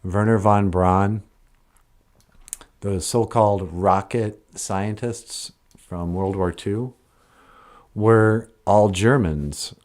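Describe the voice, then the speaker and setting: A man's voice: lowish voice, steady tone, drawn-out words, pauses often, a little mellow. A man chatting on a livestream and answering his chat in a rambling way, often trailing off and correcting himself mid-sentence. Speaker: live streamer answering chat.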